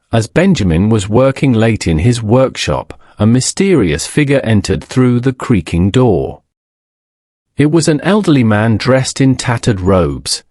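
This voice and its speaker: A man reading a scripted bedtime story as a narrator, in a measured, solemn storybook manner. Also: medium pitch, a little mellow, no echo, studio quality.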